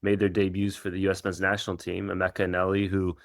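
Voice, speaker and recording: A middle-aged man who grew up in Israel, Kenya and the United States, answering interview questions in an informal, reflective, halting way as a coach. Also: deep voice, monotone, dry recording, studio quality. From Israel and Kenya, United States from age 13. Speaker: professional soccer coach.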